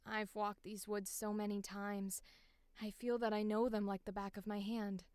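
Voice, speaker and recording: A woman voicing a polite but curt teenage farm girl in measured, enunciated audition lines for a game. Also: mid-range voice, little pitch movement, darkish tone, clear, resonant tone, dry recording, close to an everyday voice. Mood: curt, guarded. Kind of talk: video game audition line reading.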